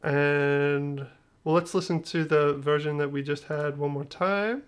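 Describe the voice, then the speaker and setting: A man's voice: a little high, fairly even intonation, unhurried. A man hosting a music production tutorial, talking casually in a loose, joking, slangy way with trailing phrases. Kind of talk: casual screen-share tutorial talk.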